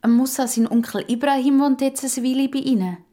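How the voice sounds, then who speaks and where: A woman's voice: sits high, fairly even intonation, a little fast, dark tone, voice pushed up, close to an everyday voice. A woman voicing a curious, outspoken young girl in a children's audio story, eager and emphatic, with a Swiss German accent.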